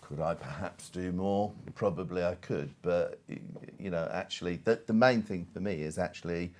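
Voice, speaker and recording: A man with a distinctly deep voice talks as a guest on a panel. He is candid and emphatic in a conversational way, over faint background noise. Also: moderate pace, darkish tone. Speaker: panel guest, software advocate.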